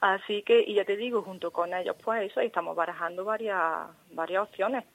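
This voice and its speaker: Female phone interviewee, average pitch, earnest school parent, insistent and repetitive, unscripted delivery. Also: a little fast, poor audio. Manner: earnest, insistent, repeats herself.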